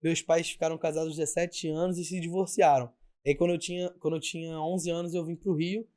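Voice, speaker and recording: A young man from Rio with a Carioca accent, a guest candidly telling his life story in relaxed slang. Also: on the high side, a little fast. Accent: Carioca Portuguese. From Rio de Janeiro, Brazil. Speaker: podcast guest, bakery owner. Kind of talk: casual interview storytelling.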